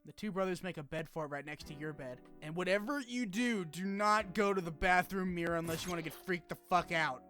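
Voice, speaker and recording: A man's voice: sits high, fairly quick, few pauses, fairly bright tone, soft background music. A man narrating a scripted but casual game review, with informal wry asides and some swearing. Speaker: video essay narrator.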